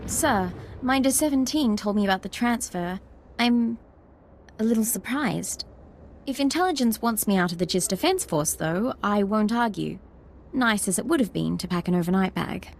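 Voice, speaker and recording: An actress playing an earnest young ensign in a video game, polite and dutiful in manner. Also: female voice, medium pitch, expressive, normal speed, creaky, a little hiss or hum. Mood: surprised, eager. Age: young adult. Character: earnest, eager young female military ensign.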